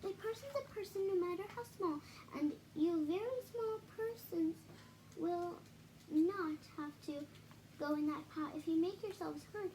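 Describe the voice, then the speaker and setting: A kindhearted male storybook elephant, played by a woman, pleads earnestly in a very high voice. The delivery is urgent and emphatic, with faint noise on the recording. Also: a little slow, dark tone. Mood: pleading, encouraging. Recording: noisy home recording.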